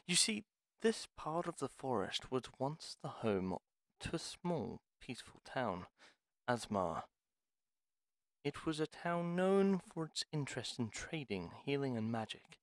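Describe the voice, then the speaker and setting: Man acting a slow, formal, weary retired apothecary. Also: mid-range voice, very animated, no room sound. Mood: solemn, reminiscing. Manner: formal, deliberate, weary, theatrical. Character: gruff, reluctant retired male pharmacist, courteous but wary. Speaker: voice actor in roleplay audio. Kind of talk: dramatic audio roleplay monologue.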